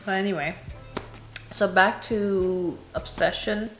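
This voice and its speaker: A young woman from Indonesia reviewing perfumes in casual, candid chat, laughing at herself, filmed in a small bright room. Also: mid-range voice, steady tone, slow pace, reverberant, faint music behind. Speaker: beauty vlogger.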